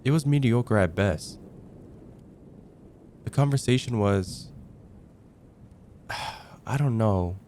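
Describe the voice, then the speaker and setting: A young man playing a casual heartbreaker friend confiding about dating in a conversational, hesitant ASMR roleplay, with sighs and trailing pauses. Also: on the low side, lively intonation, darkish tone, a little hiss or hum. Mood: let down. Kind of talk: ASMR roleplay, acted one-sided conversation.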